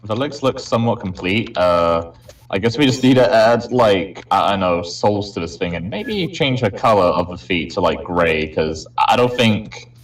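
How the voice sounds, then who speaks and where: A man chatting casually on a group stream, thinking aloud in a halting way. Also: on the low side, lively intonation. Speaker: stream participant. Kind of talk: casual chat. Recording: distant mic, faint noise and clicks.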